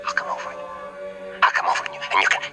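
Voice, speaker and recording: A man's voice: rapid-fire, music in the background, lightly acted. An actor playing a leering male phone pervert who whispers breathily. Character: male obscene phone caller, leering creep.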